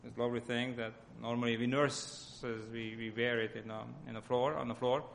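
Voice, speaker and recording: A man with a Hungarian accent gives an informal sermon as a lay church speaker, often halting as he searches for words. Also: mid-range voice, level tone, creaky, slight background noise.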